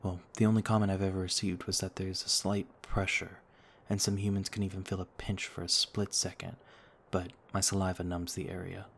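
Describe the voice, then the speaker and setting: Male actor as courtly ancient vampire for ASMR roleplay: deep, restrained in pitch movement, gentle and reassuring. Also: little pitch movement, brisk, hardly any pauses, slight background noise. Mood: soothing, courteous. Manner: courtly, formal, soothing, reassuring. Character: gentle noble male vampire, over a thousand years old, looks thirty-two.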